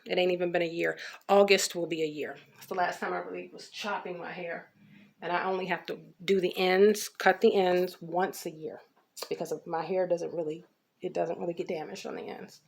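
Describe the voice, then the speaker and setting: A woman's voice: lowish voice, fairly even intonation, normal speed, creaky, husky. An American woman giving a casual, chatty hair care update, talking informally and rambling with some repetition. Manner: informal, rambling, repeats herself. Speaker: natural hair care vlogger.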